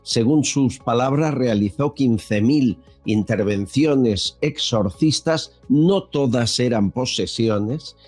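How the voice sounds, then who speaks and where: A man hosting a podcast interview, asking questions slowly with many hesitations. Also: mid-range voice, a little mellow, faint music behind. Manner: slow, hesitant with many fillers. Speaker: podcast host.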